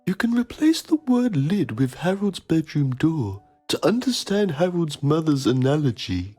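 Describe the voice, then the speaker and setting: A man's voice: a little high, sing-song, measured pace, warm tone, slightly rough, soft background music, full character voice. A man playing a pompous TV journalist, narrating a mock documentary in a solemn, melodramatic manner. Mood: grave, pompous.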